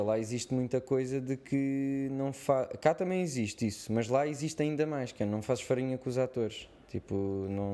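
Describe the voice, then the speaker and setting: A man's voice: medium pitch, mellow. A young Portuguese man, an actor, telling stories in a slangy, rambling casual interview chat with a European Portuguese accent. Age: young adult. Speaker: actor guest. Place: studio.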